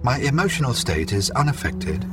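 An actor playing a polite, emotionless android envoy in an audio drama, speaking in a formal, measured, impassive way. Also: male voice, medium pitch, very animated, music in the background, close to an everyday voice.

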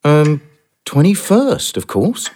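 A man's voice: on the high side, wildly swooping pitch, slow, darkish tone, heavily acted. A young actor playing an awkward, apologetic modern young man stranded in the past. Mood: flustered. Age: young adult. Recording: music score under the voice.

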